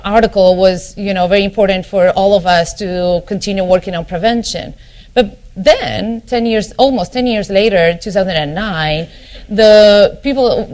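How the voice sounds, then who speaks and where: A woman's voice: mid-range voice, darkish tone. A middle-aged woman from Argentina with an Argentine Spanish accent, a family physician giving a conference keynote, often stopping on ums and "you know" and restarting her phrases. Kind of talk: conference lecture.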